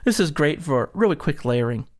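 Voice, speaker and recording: A man's voice: a little high, lively intonation, moderate pace. A man presenting an instructional product demonstration, explaining things step by step.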